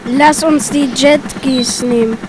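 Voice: high voice, fairly even intonation, slow, background noise. A child of about eight or nine playing gangsters and royalty in an amateur school radio play. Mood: greedy, urgent. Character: crown-greedy gangsters and a happy young princess.

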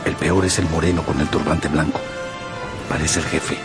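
A man's voice: extremely low pitch, fairly even intonation, moderate pace. An actor playing a distrustful crewman who mutters to a shipmate in a radio drama. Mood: suspicious. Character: suspicious grumbling male ship's crewman. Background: dramatic music.

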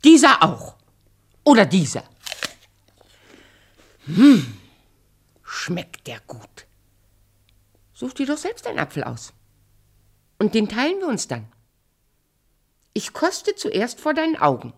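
Evil fairy-tale queen, sly, wheedling, falsely caring, drawn-out pace, swooping intonation, theatrical radio drama. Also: fairly high pitch, wildly swooping pitch, husky, full character voice. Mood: coaxing, sly. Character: sly evil queen posing as fruit seller.

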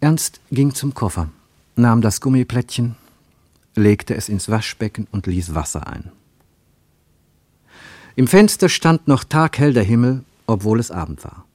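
A male narrator tells a literary story for radio. His mid-pitched voice is deliberate and scripted, recorded very dry and close. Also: expressive, darkish tone, no room sound.

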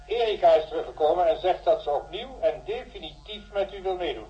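Dutiful assistant on muffled link. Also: male voice, high-pitched, animated, a little fast. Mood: dutiful, apologetic. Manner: polite, dutiful. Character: deferential male assistant reporting to his doctor boss. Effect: radio or telephone filter. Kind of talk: science fiction radio drama. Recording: muffled radio-link sound.

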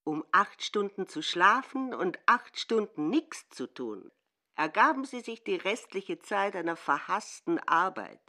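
A woman reading narration in a literary radio drama in a slow, deliberate manner. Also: medium pitch, sing-song, sharp tone.

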